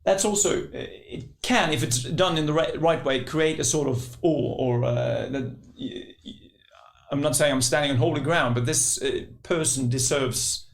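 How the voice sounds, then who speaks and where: A Norwegian-accented man with a gravelly, fry-heavy voice talks in a hesitant conversational way. He fills his pauses with "uh" and hedges with "sort of" as he works through his thoughts. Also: on the high side. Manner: hesitant, with frequent fillers and "sort of". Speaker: podcast co-host. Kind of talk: conversational podcast discussion.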